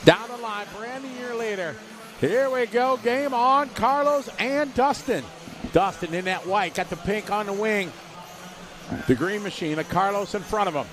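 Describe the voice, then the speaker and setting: A man calling a radio-controlled car race live, giving quick play-by-play and reading out viewer messages. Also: high voice, crowd noise. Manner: rapid play-by-play, reads viewer chat aloud. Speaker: race commentator.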